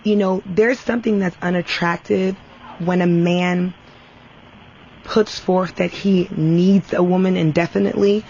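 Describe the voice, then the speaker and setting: A woman's voice: fairly low pitch, steady tone, measured pace, a little mellow. A woman guest in an earnest debate, explaining her view hesitantly but insistently. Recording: dull, slightly noisy radio recording.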